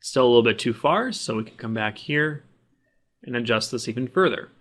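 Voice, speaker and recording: A man's voice: mid-range voice, animated, brisk, a bit bright. Man giving step-by-step tutorial narration. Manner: plain, instructional, explaining each action as he does it. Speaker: tutorial instructor. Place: voice-over of a screen recording.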